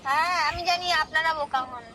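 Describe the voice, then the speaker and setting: A young woman, chatting casually on a phone call with quick, sassy retorts. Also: high-pitched, background noise. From West Bengal, India. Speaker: prank call recipient. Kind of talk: casual chat.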